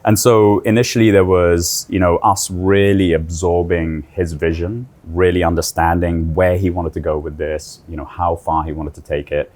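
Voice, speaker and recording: Very deep-voiced designer explaining a room. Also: male voice, little pitch movement, moderate pace, hardly any pauses, darkish tone. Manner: fluent, descriptive, many "kind of" fillers.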